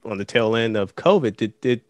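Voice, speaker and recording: A man hosting an interview, asking questions in a measured way with hesitations. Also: lowish voice, lively intonation, no room sound. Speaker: interviewer, host.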